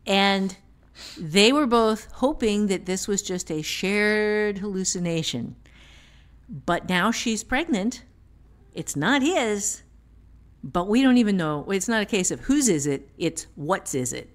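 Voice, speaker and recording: A woman's voice: mid-range voice, expressive, slow. A woman author and teacher explaining things step by step with examples in casual podcast talk.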